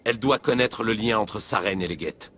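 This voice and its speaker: A determined alien officer from a video game, speaks confidently in a low-pitched voice. The delivery is matter-of-fact, with faint ambient noise behind it. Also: male voice, normal speed, sharp tone. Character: keen, determined male alien Turian police officer.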